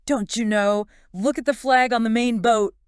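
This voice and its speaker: A man's voice: extremely high pitch, fairly even intonation, moderate pace, smooth, no echo, clean studio sound, pitched above the performer's own voice. A man voicing a knowing old village man in a podcast retelling of a historical story. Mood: knowing, emphatic.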